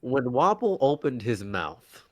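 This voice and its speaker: A man co-hosting a casual review chat, excitable and rambling, laughing often. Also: mid-range voice, measured pace. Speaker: co-host.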